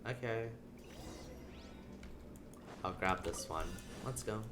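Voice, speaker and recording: A man's voice: on the low side, slow, music in the background. A man livestreaming a game, reading text aloud and adding casual, playfully sarcastic commentary. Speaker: game streamer.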